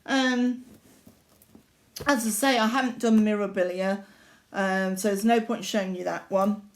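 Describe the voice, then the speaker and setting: A woman's voice: mid-range voice, fairly even intonation, a little slow. An older woman from Yorkshire chatting casually at home about her needlework, rambling, with lots of fillers and self-corrections. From Yorkshire, England. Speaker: craft vlogger. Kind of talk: casual chat showing needlework.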